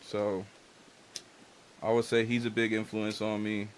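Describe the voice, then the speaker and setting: A man's voice: fairly low pitch, monotone, unhurried, a little hiss or hum. Laid-back young man, casual and candid. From Dominican and Haitian family. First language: Spanish. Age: young adult. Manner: loose and candid, heavy on "like" and slang. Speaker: guest artist and engineer. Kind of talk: casual interview chat.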